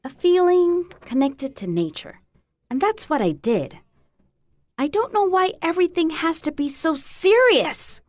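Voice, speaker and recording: Troubled, guarded teen girl, female actor, high-pitched and slow, hesitant delivery for dramatic scene. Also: sing-song, dry recording, full character voice. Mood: sullen, defensive. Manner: hesitant, defensive. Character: troubled, guarded teenage girl, sullen and frightened. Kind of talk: staged dramatic reading.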